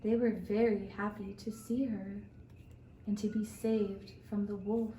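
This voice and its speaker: A woman telling a scripted story for young children in measured, deliberate phrases with long pauses, recorded in a roomy space away from the mic. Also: mid-range voice, flat delivery, warm tone. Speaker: storyteller for a kindergarten puppet show. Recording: roomy, distant mic with faint noise.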